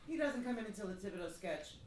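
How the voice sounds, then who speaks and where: Reassuring show staffer, played by a woman: quick talk, medium pitch, distant in a noisy room. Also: expressive, natural voice. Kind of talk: stage radio-play dialogue. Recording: echoey, distant, noisy stage recording.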